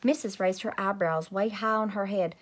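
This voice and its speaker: A woman's voice: medium pitch, fairly even intonation, moderate pace. A woman storyteller reading a children's book expressively to young listeners. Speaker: read-aloud teacher, storyteller.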